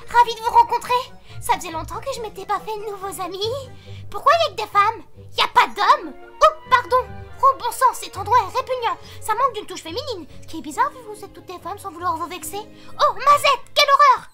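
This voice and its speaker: A woman voicing a tiny, hyperactive female demon maid who babbles rapidly and fusses about dirt. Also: extremely high pitch, animated, sharp tone, faint music behind, heavily acted. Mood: excited.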